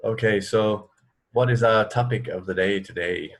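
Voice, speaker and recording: A man's voice: fairly low pitch, moderate pace. A man co-hosting a radio show, chatting casually in an unscripted, conversational way. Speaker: radio co-host. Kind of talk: casual chat between music.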